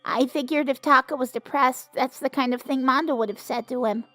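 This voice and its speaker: A woman voicing a gentle, earnest AI computer program in an anime fan dub. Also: high voice, a little fast, no echo, faint music behind.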